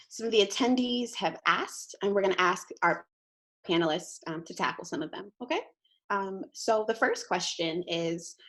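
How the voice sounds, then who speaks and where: A young woman informally moderating a student panel. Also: medium pitch. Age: young adult. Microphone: computer mic on video call.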